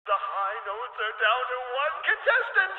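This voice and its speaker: An actor playing an excitable, showy game show arena announcer with theatrical, emphatic delivery over a reverberant PA. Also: male voice, very high-pitched, a little slow, narrow, tinny audio, heavily acted. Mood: showy, excited. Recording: very echoey arena PA, band-limited radio-like sound.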